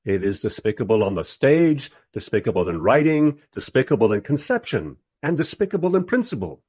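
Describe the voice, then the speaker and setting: An actor playing a bemused, wry filmmaker who narrates and interviews in a scripted radio drama. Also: male voice, mid-range voice, sing-song, brisk, darkish tone, breathy edge. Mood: wry, narrating.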